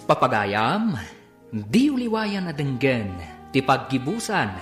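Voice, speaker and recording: A woman's voice: a bass voice, sing-song, slow pace. A woman announcer reading scripted narration for a radio drama serial. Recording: echoey, loud music bed. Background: dramatic music.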